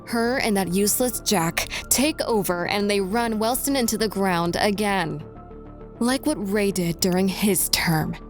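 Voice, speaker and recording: A woman plays a sardonic teenage schemer, haughty and languid. She takes her words slowly with a mocking edge, in an amateur comic dub with soft music underneath. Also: medium pitch, natural voice. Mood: smug, mocking.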